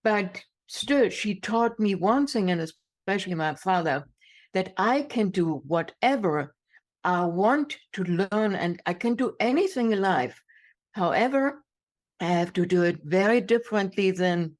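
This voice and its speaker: A woman's voice: medium pitch, animated, measured pace. A woman in her sixties from Germany with a German accent, telling her own story emphatically as an interview guest, repeating words for effect. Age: 60s. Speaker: guest, inspirational speaker.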